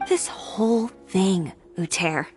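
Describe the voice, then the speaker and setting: A woman's voice: medium pitch, slow pace, a little mellow, soft background music, close to an everyday voice. A woman playing a proud, defiant young fashion designer in a video game, cutting, composed and self-assured. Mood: accusing, scornful. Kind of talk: dramatic video game dialogue.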